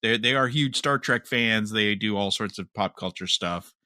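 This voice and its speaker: A man co-hosting a fan podcast, talking casually and a bit haltingly as he thinks aloud. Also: lowish voice, bright, forceful tone, dry recording, clean studio sound. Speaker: podcast co-host.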